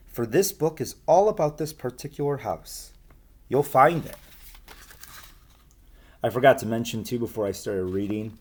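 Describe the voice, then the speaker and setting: A man reads a picture book aloud in a mid-range voice that moves up and down with great liveliness. He is expressive and speaks directly to young listeners, slipping between narration and casual asides. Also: medium pitch.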